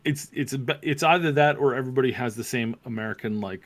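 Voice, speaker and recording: A man co-hosting a casual review discussion, reasoning out his opinions haltingly and repeating words as he goes. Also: medium pitch. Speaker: co-host of a review show.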